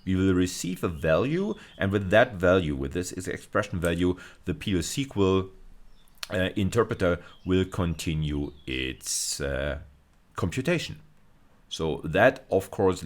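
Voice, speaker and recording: A middle-aged man giving a lecture as a university teacher, explaining step by step in a measured way with hesitant pauses. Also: low voice. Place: indoors, recorded video lecture.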